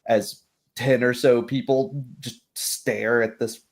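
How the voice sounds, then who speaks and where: A man with a middle-pitched voice runs a tabletop game as its game master. He improvises descriptions in pieces, pausing to think as he narrates. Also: steady tone. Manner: halting, thinking aloud.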